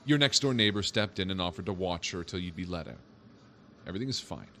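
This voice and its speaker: Actor doing a dry teasing cop, lowish voice, light hiss behind. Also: male voice, animated, fast, bright, forceful tone, heavily acted. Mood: calming. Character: wisecracking skeptical male police officer. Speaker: police officer. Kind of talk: audio drama acting.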